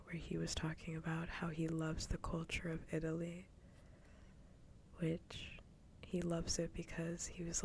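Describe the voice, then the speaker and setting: A woman streamer chatting casually in a soft, breathy ASMR whisper close to the microphone, with a wry, conversational way of griping. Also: sits low, slight background noise. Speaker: streamer hosting a live ASMR show.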